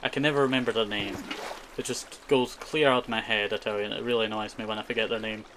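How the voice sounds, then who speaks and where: A man's voice: lowish voice, fairly even intonation, fast, a bit bright, a little hiss or hum, low-quality recording. A man who is a native Scottish Gaelic speaker from Scotland, giving chatty, rambling live gaming commentary and often repeating short phrases. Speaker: streamer.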